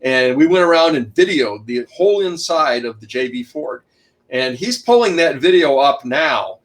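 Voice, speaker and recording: A middle-aged man, an author and shipwreck researcher, talking loudly as a guest in an online interview and packing in detail with many restarts. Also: animated, normal speed. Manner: loud, booming voice, packs in lots of detail; rambling, frequent restarts and uh fillers.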